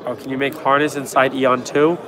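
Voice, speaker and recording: A young man hosting a casual livestream from a trade show floor, answering chat in a quick, offhand, joking way, his voice worn from a cold. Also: medium pitch, little pitch movement. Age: young adult. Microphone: phone held at arm's length. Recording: noisy crowded convention hall. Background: crowd chatter.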